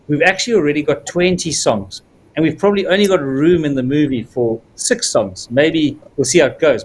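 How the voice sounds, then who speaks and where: A man's voice: fairly high pitch, slight background noise. A man with a South African accent, a film producer answering viewer questions at length on a livestream, with frequent fillers.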